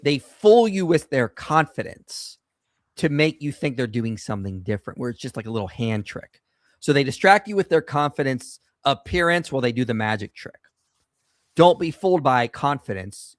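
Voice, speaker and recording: A man's voice: mid-range voice, animated, normal speed, dry recording. Confident male call-in host, dry sound. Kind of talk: apologetics call-in discussion.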